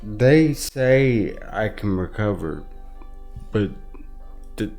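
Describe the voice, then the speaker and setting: A man giving personal testimony as an interview guest, halting and repeating himself, with determination. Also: fairly low pitch, lively intonation, darkish tone, faint music behind.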